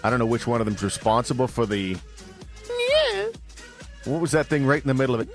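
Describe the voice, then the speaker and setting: A man's voice: medium pitch, brisk, faint music behind. A man co-hosting a sports radio show, bantering casually with dry sarcasm. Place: radio studio.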